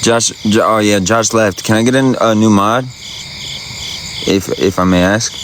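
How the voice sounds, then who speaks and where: A man's voice: lowish voice, fairly even intonation, unhurried, noisy background. A man chatting casually on a livestream, laid-back and rambling, answering viewers in slangy everyday talk. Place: outdoors at night by a campsite. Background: crickets and night insects.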